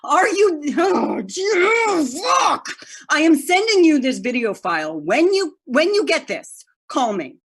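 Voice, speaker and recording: A woman playing a frantic, entitled wealthy mother in a play performed as a video call, imperious, agitated and halting. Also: high voice, very animated, exaggerated voice. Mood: tearful outburst. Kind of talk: one-act play monologue on a video call.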